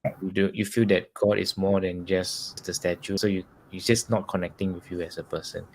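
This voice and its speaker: A Singaporean man hosts the interview and asks questions in a relaxed way, with plenty of short agreeing sounds. His voice is low and gravelly, with noticeable fry. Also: brisk, a little hiss or hum, rough recording. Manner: questioning, many short backchannels. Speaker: host. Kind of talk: casual interview talk.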